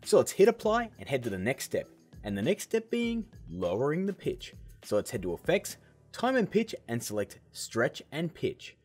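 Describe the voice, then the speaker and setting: A man hosting a software tutorial, explaining steps in a casual, upbeat way. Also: medium pitch, wildly swooping pitch, normal speed, breathy edge, soft background music. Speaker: video tutorial host.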